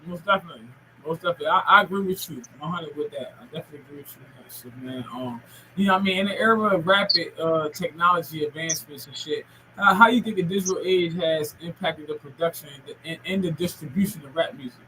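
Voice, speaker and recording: A man's voice: fairly high pitch, fast talker, bright, forceful tone, slight background noise, rough recording. A man in his forties hosting a podcast in casual, rambling, slangy and profane talk. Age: 40s. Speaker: podcast host. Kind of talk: casual interview chat.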